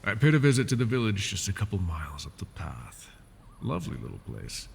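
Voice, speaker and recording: A man voicing a wounded male dragon who keeps a human captive, soft-spoken and polite, often slipping into whispers, for an ASMR fantasy roleplay. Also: on the low side, wildly swooping pitch, a little fast, gravelly, husky, exaggerated voice. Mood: doting. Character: wounded male dragon, possessive yet doting captor.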